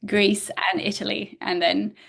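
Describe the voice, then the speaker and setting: A middle-aged woman from Bulgaria chats as an interview guest, with a gravelly edge of vocal fry in her voice. She talks in an animated way and fills her sentences with "like" while she thinks. Her pitch sits in a moderate middle range. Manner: animated, frequent "like" fillers, quick laughs. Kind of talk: casual interview chat.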